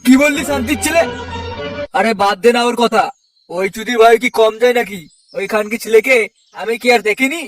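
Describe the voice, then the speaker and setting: A man's voice: very high-pitched, brisk, soft background music. A man voicing a rowdy, crude cartoon troublemaker, in melodramatic comedy dialogue. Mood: aggressive. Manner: brash, swearing, melodramatic.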